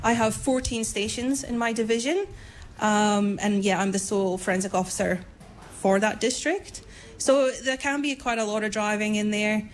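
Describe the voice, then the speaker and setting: A woman's voice: mid-range voice, steady tone, normal speed. A woman in her thirties with a Scottish accent, speaking informally in an interview as a police forensic officer. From Scotland. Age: 30s.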